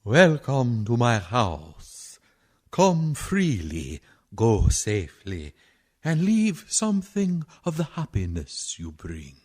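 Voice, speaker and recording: A man playing a courteous, menacing old vampire count in a radio play, speaking slowly and ceremoniously. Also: mid-range voice, wildly swooping pitch, darkish tone, husky, exaggerated voice. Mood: stately welcome. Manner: slow, deliberate, ceremonious.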